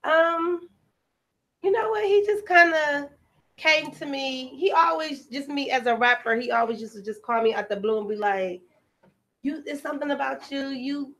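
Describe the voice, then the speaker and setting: A woman's voice: sits high, normal speed, fairly bright tone. An American woman chatting casually as an interview guest, informal and emphatic. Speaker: guest actress and rapper.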